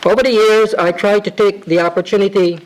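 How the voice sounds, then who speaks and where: A man reading a formal devotional tribute letter to his spiritual teacher, measured and reverent, with ornate phrasing. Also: sits high, steady tone, poor audio. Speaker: disciple reading a homage.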